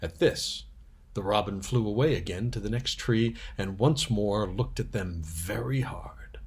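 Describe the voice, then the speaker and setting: A man reading a children's story aloud as narrator, with a slightly husky voice. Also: fairly low pitch, a little slow.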